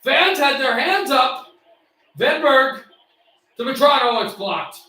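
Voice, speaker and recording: Man, high bright voice, excitable hobby play-by-play, voice fraying, roomy off-mic sound. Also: slow, far from the mic. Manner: excitable, bursts into shouts on shots. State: losing his voice. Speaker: play-by-play streamer. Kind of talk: amateur play-by-play commentary.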